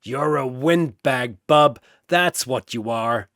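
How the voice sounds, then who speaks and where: A scrappy clawed brawler played by a male narrator. He is gruff, blunt and mocking, taking his time over each taunt in a dry comic-book story recording. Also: medium pitch, slow, a bit bright, no room sound. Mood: scornful.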